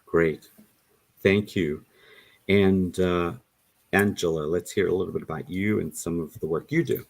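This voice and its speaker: A man hosting a podcast interview, speaking in a measured way with some fillers. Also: low voice, creaky, a little mellow. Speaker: podcast host.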